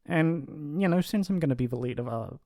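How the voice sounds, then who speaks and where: A man's voice: a little high, lively intonation, a little fast, no room sound. Man acting a shy, lovestruck young mafia heir, close dry sound. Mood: reluctant, earnest. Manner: hesitant, rambling, soft-hearted and awkward. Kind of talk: intimate ASMR roleplay monologue.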